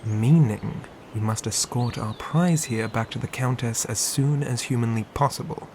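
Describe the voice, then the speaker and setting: A man acts out a stern, ambitious knight in a medieval fantasy roleplay. His medium-pitched voice carries formal, old-world phrasing in a measured delivery, with audible ambient noise in the recording. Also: a little mellow, lightly acted. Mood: menacing, businesslike. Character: dutiful, stern male knight, ambitious twin brother, protective. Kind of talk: scripted medieval fantasy audio roleplay, ASMR.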